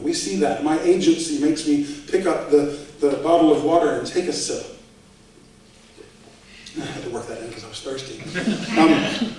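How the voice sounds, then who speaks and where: A man's voice: sits high, a little mellow. A man speaking at a public debate lecture in a conversational way, with dry asides, in a lecture hall. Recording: echoey hall, voice from far off.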